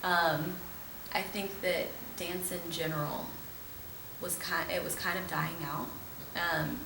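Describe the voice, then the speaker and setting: A woman with a gravelly, fry-edged voice talks with warm enthusiasm, stressing points and repeating herself for emphasis. She sounds a little off-mic in an echoey room. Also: on the low side, steady tone, slow, recorded from a distance, background noise. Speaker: dance instructor guest. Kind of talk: casual podcast conversation. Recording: echoey room, voice a bit off-mic, faint ambience.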